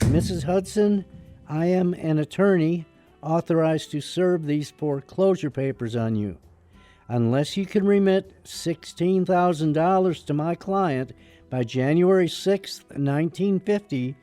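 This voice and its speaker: An actor playing a brusque, sardonic attorney with a soft heart in a radio drama. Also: male voice, on the high side, a little slow, no room sound, soft background music, natural voice. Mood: brusque, official.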